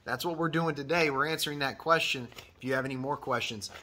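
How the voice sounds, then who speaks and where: A man's voice: mid-range voice, fast, noisy background. A man in his thirties hosting a trade advice vlog, earnest and encouraging, repeating his key points, filmed close in a decorated workshop room. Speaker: HVAC channel host. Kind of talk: advice vlog, reading a viewer email.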